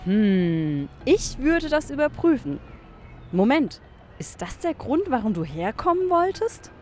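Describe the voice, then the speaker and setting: A woman acting a curious, playful and slightly sceptical young companion in a youth radio play, expressive and teasing. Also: high voice, unhurried, slight background noise. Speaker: actress in an educational audio drama.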